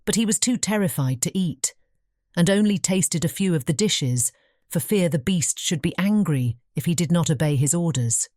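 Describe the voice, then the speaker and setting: A woman's voice: fairly low pitch, expressive, normal speed, darkish tone, no echo, clean studio sound. A woman narrating a fairy tale audiobook from a script.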